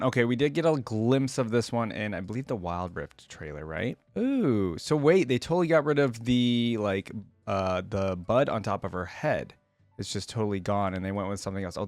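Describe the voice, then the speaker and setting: A man's voice: mid-range voice, no room sound. A man giving casual, informal reaction commentary as a video host, musing aloud as he goes.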